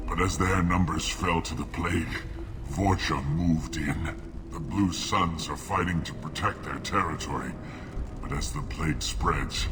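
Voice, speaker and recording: Deep-voiced, dying alien, coughing and bitter. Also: male voice. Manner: formal, measured, grave phrasing. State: coughing, sick and weak. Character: dying plague-stricken male alien, bitter, suspicious of humans. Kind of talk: video game dialogue acting.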